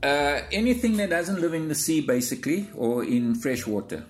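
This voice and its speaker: A South African man answering interview questions as a zoologist guest, in a measured, explanatory way. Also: on the high side, expressive, faint music behind.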